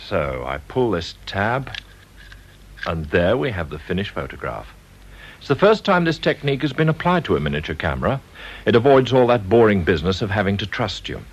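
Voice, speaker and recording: A man's voice: low voice, slightly rough, exaggerated voice. An actor playing a male blackmailer in a radio drama, sardonic and politely threatening. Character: smooth, menacing male blackmailer, calmly mocking.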